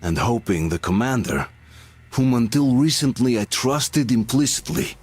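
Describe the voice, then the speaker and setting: An actor playing a weary, doubtful soldier, giving measured first-person narration in a video game. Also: male voice, medium pitch, slightly rough. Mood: pensive, wry. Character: weary reflective soldier narrating his journey, quietly doubtful.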